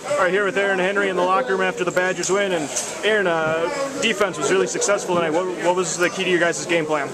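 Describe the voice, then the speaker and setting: A man reporting for a team, asking players brisk, direct postgame questions. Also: high-pitched, flat delivery. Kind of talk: sideline interview questions. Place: noisy locker room. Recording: loud crowd noise behind.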